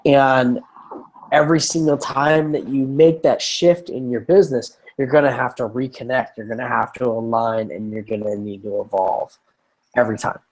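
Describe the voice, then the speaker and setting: A man's voice: medium pitch, steady tone, moderate pace. A man co-hosting a casual conversation who is getting over a lung infection, so his voice is scratchy and tired, and he rambles with many restarts and fillers. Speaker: podcast co-host.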